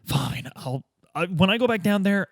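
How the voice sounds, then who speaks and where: A man with a slightly high, very varied pitch talks casually as a tabletop game player. He improvises his moves out loud in a dry recording. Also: lively intonation, normal speed, dry recording.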